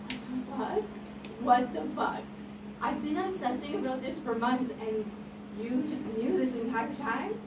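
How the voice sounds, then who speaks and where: A woman's voice: high voice, measured pace. A woman playing a needy, indignant, drunk young man in love, at a script table read. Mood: indignant, hurt. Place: large echoey room with a fan running. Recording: distant echoey room, loud fan noise.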